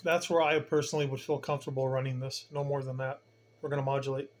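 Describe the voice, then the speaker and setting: A man's voice: medium pitch, flat delivery, fast. A man giving a casual hands-on demonstration as a hobbyist host, plain-spoken and colloquial, with emphatic turns of phrase.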